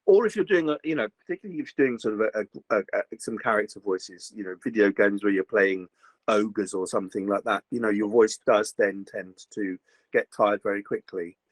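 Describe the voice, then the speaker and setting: An older man, a voice actor chatting in an interview, slightly gruff, rambling with many fillers and restarts. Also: fairly low pitch, a little fast, no room sound. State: slightly gruff after a recent virus. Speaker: voice actor guest. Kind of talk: casual interview chat.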